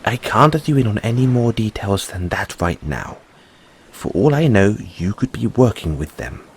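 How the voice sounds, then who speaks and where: A proud merman prince, played by a man in an audio roleplay, with a lowish voice that rises and falls dramatically. He speaks in formal, measured phrases with weighty pauses, courteous yet guarded toward humans. A light layer of noise sits behind the voice. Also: very animated. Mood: guarded, earnest. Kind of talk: scripted audio roleplay addressed to the listener.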